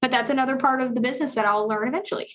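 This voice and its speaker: A young woman with a high-pitched voice talking in an upbeat, earnest and chatty way as a guest on a podcast. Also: fairly even intonation, racing, fairly bright tone. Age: young adult. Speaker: loan officer guest. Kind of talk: casual podcast conversation.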